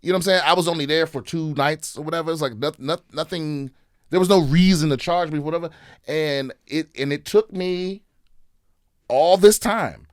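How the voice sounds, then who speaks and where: A man's voice: on the high side, normal speed, no room sound, clean studio sound. A man in casual podcast chat, ranting and swearing, with many restarts. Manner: ranting, profane, repeats and restarts. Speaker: comedian guest.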